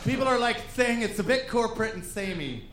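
A man's voice: very high voice, animated, a little fast, a bit bright. A man co-hosting a comedy podcast live on stage, bantering playfully with his partner. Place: stage before a live audience. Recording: roomy live recording with audience.

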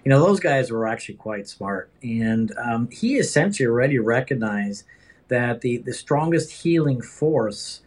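A man from the Netherlands answering interview questions as a doctor, conversational, with hesitant, halting phrasing. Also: mid-range voice, animated, husky. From Netherlands, raised in several countries. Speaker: interview guest, regenerative medicine doctor.

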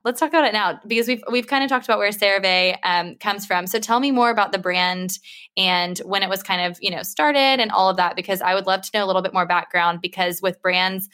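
A woman's voice: medium pitch, fairly quick, fairly bright tone. An American woman hosting an interview show, friendly and upbeat as she introduces and questions her guest. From United States. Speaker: podcast host. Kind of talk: interview host chat.

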